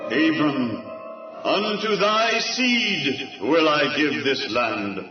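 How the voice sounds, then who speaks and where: An actor playing God as a solemn, commanding divine voice with heavy echoing reverb. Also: male voice, fairly high pitch, sing-song, drawn-out words, heavily acted. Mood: solemn, majestic. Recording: echoey distant reverb, muffled, loud music.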